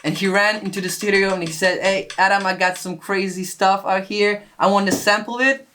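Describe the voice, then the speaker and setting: A man's voice: high voice, fairly even intonation, a little fast, pushed and bright. A man who produces music, talking through how a track was put together. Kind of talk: production walkthrough.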